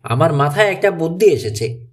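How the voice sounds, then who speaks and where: Audiobook role: confident young boy sleuth male reader, explains plans decisively in a highish, animated voice. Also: moderate pace, lightly acted. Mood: confident, reasoning. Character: clever, confident teenage boy detective, the planner.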